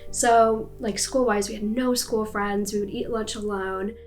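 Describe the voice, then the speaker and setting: A woman's voice: medium pitch, fairly even intonation, unhurried, faint music behind. A young woman with an American accent telling a personal story in a chatty, casual way, with many fillers. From United States.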